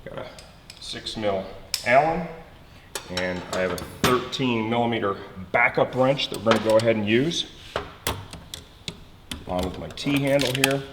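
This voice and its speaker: A man's voice: medium pitch, animated, normal speed, pauses often, noisy background. A man giving a casual hands-on tutorial, explaining each step as he works and checking in as he goes. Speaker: instructor, motorcycle mechanic demonstrating an installation. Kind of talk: hands-on how-to tutorial, talking while working. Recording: reverberant workshop with loud tool clatter.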